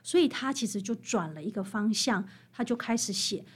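A woman with a Taiwanese Mandarin accent, explaining things as an interviewed author in an animated, emphatic storytelling manner. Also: medium pitch, fairly quick, husky. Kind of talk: expressive explanatory interview talk.

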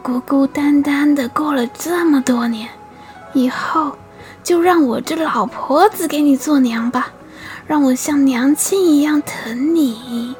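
Narrator doing a gentle, tearful storybook grandmother, hushed, over soft music. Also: high-pitched, a little slow, voice pushed up. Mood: tender. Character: tearful older rich widow in beggar's rags.

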